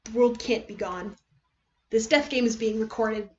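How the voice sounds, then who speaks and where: Woman reading as a scheming, high-voiced cynical teenage boy. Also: a little slow, dull audio, close to an everyday voice. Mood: desperate, intense. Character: scheming, cynical teenage boy writing unhinged secret notes.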